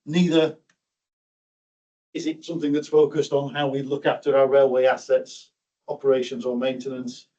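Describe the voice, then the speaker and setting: A man's voice: mid-range voice, level tone, moderate pace, pauses often, dark tone, far from the mic, highs cut off. A middle-aged man from South Yorkshire giving a conference talk on railway projects, hesitant and self-deprecating, often repeating words. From South Yorkshire, England. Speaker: railway project engineer, presenter. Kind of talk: conference presentation. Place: meeting room.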